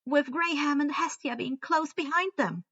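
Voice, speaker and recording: A woman voicing a brisk, commanding teenage girl who leads her friends in a story reading. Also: high-pitched, bright, forceful tone, no echo, dull audio, pitched above the performer's own voice. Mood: assessing, decisive. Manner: commanding, impatient.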